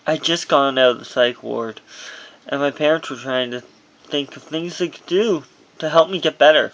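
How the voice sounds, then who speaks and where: A man's voice: on the high side, moderate pace, fairly bright tone, lo-fi sound. A man reading his own memoir aloud as narration, in a personal first-person delivery with dramatic emphasis, on an amateur recording.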